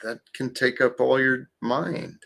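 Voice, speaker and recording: Slow, calm-voiced man preaching gently. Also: medium pitch, vocal fry. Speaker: livestream host. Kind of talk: spiritual livestream talk.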